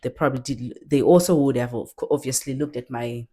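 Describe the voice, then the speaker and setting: A woman's voice: extremely low pitch, warm tone, breathy edge. Earnest young woman vlogging. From Zimbabwe. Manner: earnest, unhurried, with frequent pauses and restarts. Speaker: YouTuber. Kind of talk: casual self-introduction.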